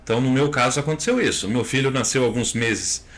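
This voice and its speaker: A Brazilian man in his thirties, a lawyer answering interview questions in a measured, step-by-step way with frequent tag questions. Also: medium pitch, fairly bright tone. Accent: Brazilian Portuguese.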